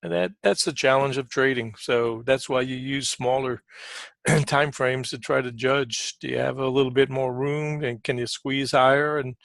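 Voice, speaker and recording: A man giving informal live market commentary as a trading coach, conversational and repetitive for emphasis, often clearing his throat. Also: medium pitch, fairly bright tone, no echo.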